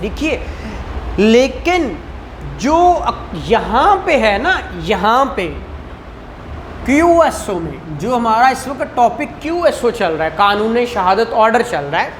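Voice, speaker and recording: A man's voice: very high voice, big pitch swings, takes it slowly, a little hiss or hum. A man with a Pakistani Punjabi accent giving a didactic classroom law lecture, repeating points and questioning students. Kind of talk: classroom lecture, code-switching into English terms.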